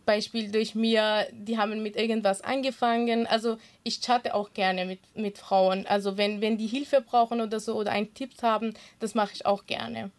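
A woman's voice: a little high, level tone, normal speed, few pauses. A young woman, a native Kurdish speaker, earnestly answering interview questions about swimming and encouraging women, with frequent hesitations. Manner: earnest, hesitant with many fillers. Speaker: interview guest, swimming instructor.

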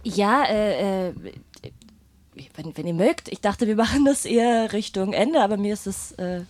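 A woman's voice: medium pitch, unhurried, a little mellow, no room sound. A woman chatting casually as an interview guest, telling her story in a hesitant, thoughtful way. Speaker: guest performer, singer and guitarist. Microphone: microphone.

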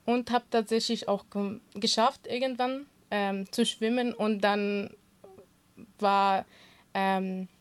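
A woman's voice: mid-range voice, fairly even intonation, slow, clean tone. A young woman whose first language is Kurdish, a swimming instructor answering interview questions earnestly, with many fillers and restarts.

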